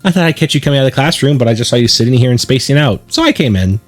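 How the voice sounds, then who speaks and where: A carefree teenage schoolgirl from a visual novel, acted out by a man, sweetly nagging and bubbly. The voice is pushed up high and lilts widely, pleading like a close childhood friend, with faint music behind. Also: lively intonation, rapid-fire, natural voice. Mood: coaxing. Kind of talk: visual novel character read-aloud.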